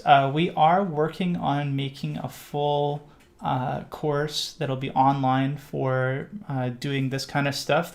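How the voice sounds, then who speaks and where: Gravelly Canadian man rambling casually. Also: on the high side. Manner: rambling with many fillers and restarts. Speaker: livestream host. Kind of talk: casual live Q&A chat.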